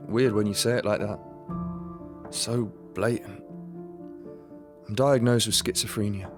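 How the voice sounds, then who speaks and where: A man's voice: lowish voice, lightly acted. A man playing an anxious young man. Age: 20s. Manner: anxious, strained, paranoid. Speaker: young man with schizophrenia, narrator of his story. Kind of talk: radio drama acting.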